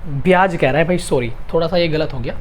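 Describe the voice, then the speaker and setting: A man's voice: fairly high pitch, fast, slight background noise. A male math teacher with a sore throat, giving an exam-prep lesson. He repeats each step and asks and answers his own questions. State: sore throat, clears throat and coughs.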